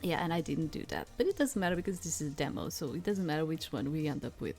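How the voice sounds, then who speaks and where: Low-voiced woman rambling through a game. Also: expressive, gravelly, a little mellow, faint music behind. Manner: rambling, halting, repeats herself. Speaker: streamer playing a visual novel demo. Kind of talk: casual playthrough commentary, reading game text aloud.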